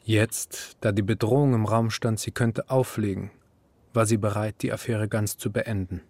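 An actor playing a composed narrator, reading literary radio drama prose in a measured, formal way. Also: male voice, on the low side, steady tone, a little mellow, no room sound, close to an everyday voice. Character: composed literary narrator observing a woman's affair.

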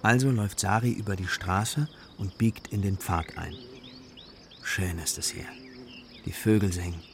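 A man's voice: deep voice, creaky. A man narrating a scripted children's radio play as a storyteller.

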